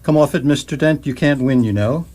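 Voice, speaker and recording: A man's voice: mid-range voice, fairly quick, warm tone, close to an everyday voice. A man playing an officious, flustered bureaucrat in a comic radio play, patronizing, evasive and often hesitant. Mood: insistent. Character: officious, flustered male bureaucrat overseeing a house demolition.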